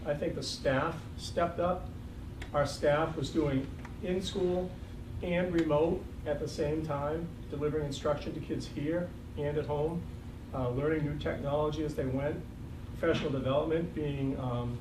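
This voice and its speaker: A man's voice: on the high side, fairly even intonation, unhurried. Reflective older man, Boston accent, echoey room. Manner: reflective and lightly joking, with frequent ums. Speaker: school superintendent. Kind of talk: farewell speech at a board meeting. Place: school board meeting room. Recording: echoey, distant, noisy room recording.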